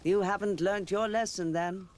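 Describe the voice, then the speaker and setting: A man playing a shrewd, sardonic political rival in a video game, speaking in a deliberate, knowing way. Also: sits high, fairly even intonation, natural voice. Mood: accusing. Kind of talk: video game cutscene acting.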